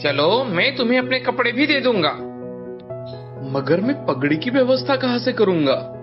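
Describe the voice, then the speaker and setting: Brief: a man playing a pushy merchant, high and swinging voice, lo-fi sound, music bed. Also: sing-song, moderate pace. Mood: coaxing. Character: scheming rich male merchant, grown man. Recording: dull lo-fi studio recording with background music.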